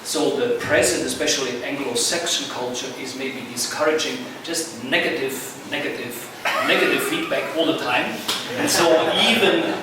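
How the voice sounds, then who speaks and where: Swiss-accented man in an echoey hall. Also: mid-range voice. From Switzerland. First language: not English. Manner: halting, many "uh" fillers, warm and earnest. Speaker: journal editor chairing a session. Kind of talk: conference panel remarks. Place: conference room. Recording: echoey hall, distant mic, noisy.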